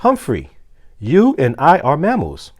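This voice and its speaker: A man reads the part of a friendly mustached janitor from a children's storybook. He explains things kindly and emphatically, at a slow pace, with a very lively pitch. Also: full character voice. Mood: explaining, friendly. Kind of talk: storybook character dialogue.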